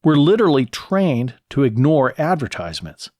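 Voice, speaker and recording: An American man hosts a solo instructional podcast in a medium-pitched voice. He works through practical advice step by step, reading from prepared notes. The sound is close and dry, with very little room on it. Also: big pitch swings, normal speed, darkish tone, dry recording. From lives in California. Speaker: podcast host. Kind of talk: solo podcast talk, scripted instructional narration.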